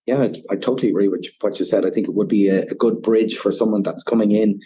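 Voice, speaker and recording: Low, husky Irish man explaining. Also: steady tone, quick pace, dark tone. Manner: explains at length, peppered with "you know". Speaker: whiskey ambassador guest. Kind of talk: casual podcast interview.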